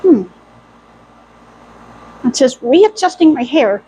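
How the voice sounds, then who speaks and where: A woman's voice: high voice, animated, takes it slowly, warm tone, a little hiss or hum, low-quality recording. A woman voicing a playful, clumsy female cartoon mouse who is an apprentice sorceress, chatting to herself in a role-play video. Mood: chatty, musing. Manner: chatty, thinking aloud in short exclamations. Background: faint music.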